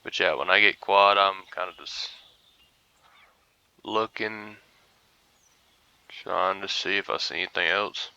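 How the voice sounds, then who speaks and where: A man's voice: sits low, takes it slowly, creaky, pauses often, pushed and bright, clear, resonant tone, dry recording, lo-fi sound. A young man casually commentating a hunting game, groggy and rambling as if he just woke up. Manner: laid-back, rambling, mumbly asides. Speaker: gaming streamer.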